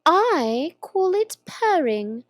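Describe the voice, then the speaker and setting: A polite, curious young girl from a storybook, as a woman reads her lines, asks her questions in a prim, earnest way. The voice sits high and moves a lot. It sounds close and dry. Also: sing-song, very slow, dry recording, full character voice. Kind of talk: storybook reading.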